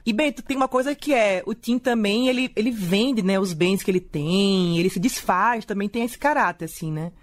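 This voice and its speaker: A woman's voice: medium pitch, moderate pace, few pauses. A woman hosting a podcast interview, asking curious questions in a casual tone. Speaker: podcast host.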